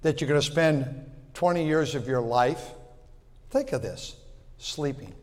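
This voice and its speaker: A man's voice: a little high, lively intonation, a little slow. Folksy pastor in his sixties, echoing hall. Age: 60s. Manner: folksy, repeats words, swings from loud to quiet. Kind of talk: sermon.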